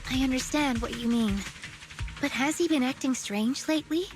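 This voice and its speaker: A very high, youthful voice acted by a woman carries this gentle game heroine. She explains things in an earnest, thoughtful way, with a slight hesitancy. Music plays clearly behind her. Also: normal speed, lightly acted. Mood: somber, thoughtful. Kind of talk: video game voice acting.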